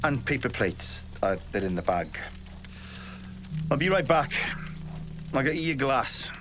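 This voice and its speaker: A man's voice: mid-range voice, very animated, a little slow, noisy background. An actor playing a cheerful, boisterous, teasing man at a backyard barbecue in an audio drama. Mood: casual, teasing. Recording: music under the dialogue.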